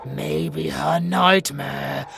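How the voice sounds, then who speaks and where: Monster villain, British, audio roleplay: sadistic, menacing, drawn-out gravelly delivery, theatrical taunts, faint music underneath. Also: male voice, on the high side, fairly even intonation, heavily acted. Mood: mocking. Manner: theatrical and taunting, swinging from slow hushed purrs to shouts. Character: sadistic male monster villain, gloating, menacing, hungry for prey.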